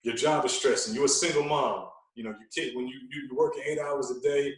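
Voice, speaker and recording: A man's voice: mid-range voice, steady tone, brisk, recorded from a distance. Long-winded male fitness coach, very echoey room. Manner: long-winded, explanatory, emphatic with rhetorical check-ins. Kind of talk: podcast conversation.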